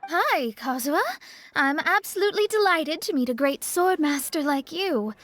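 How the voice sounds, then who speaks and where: A woman voicing a young female astrologer mage in an anime game, polite but fussy and easily flustered, very expressive. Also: sits high, moderate pace, dry recording. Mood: delighted. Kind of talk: anime video game voice acting.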